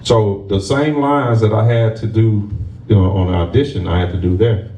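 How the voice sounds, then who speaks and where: A man, a stage actor, tells a lively story to a live audience with laughs, then closes with motivational encouragement. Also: on the low side, moderate pace. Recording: roomy sound from a distant mic.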